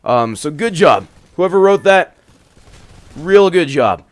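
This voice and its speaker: A man hosting and reading horror stories in an expressive, dramatic way, moving between hushed and emphatic delivery. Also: fairly high pitch, slow pace, no echo. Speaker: horror story reader and host. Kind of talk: dramatic first-person horror narration with casual commentary.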